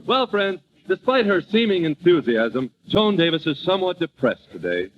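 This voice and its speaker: A man's voice: sits high, big pitch swings, moderate pace. A man announcing a 1940s radio comedy show in a polished, showmanlike style. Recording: muffled old radio recording.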